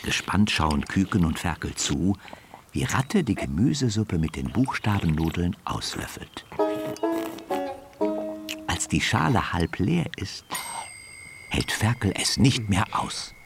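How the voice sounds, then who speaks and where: A man with a low voice tells a children's story as a radio play narrator. His delivery is expressive and deliberate, swinging widely in melody, with music playing underneath. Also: gravelly.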